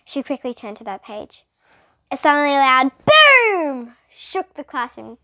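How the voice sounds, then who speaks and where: A female reader giving an expressive, dramatic read-aloud of a children's storybook. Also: high-pitched, measured pace, dry recording, studio quality. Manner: animated, dramatic reading. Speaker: reader of children's bedtime books.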